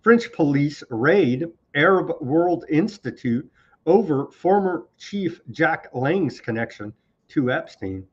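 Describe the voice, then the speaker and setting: A man's voice: on the high side, animated, takes it slowly, breathy edge, dull audio. Sarcastic man ranting on news. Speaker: political commentary host. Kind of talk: live online news commentary.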